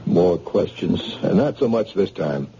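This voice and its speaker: Husky low-voiced cop, all business, old radio-play sound. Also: male voice, animated, dark tone, full character voice. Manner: brisk, businesslike questioning. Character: dogged male police sergeant. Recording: dull old radio recording.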